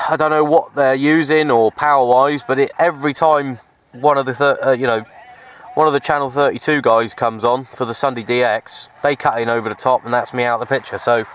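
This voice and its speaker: A man giving casual, halting, unscripted commentary for an outdoor hobby vlog, recorded on a handheld camera. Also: mid-range voice. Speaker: vlogger, CB radio hobbyist. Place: open hillside under trees, outdoors. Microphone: handheld camera microphone.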